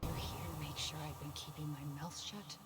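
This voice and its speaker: A woman's voice: deep voice, steady tone, moderate pace, noisy background, close to an everyday voice. An actress playing a desperate, defiant mother struggling to survive, heard as a memory in a video game cutscene. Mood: defiant, bitter. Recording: echoey, long reverb memory effect.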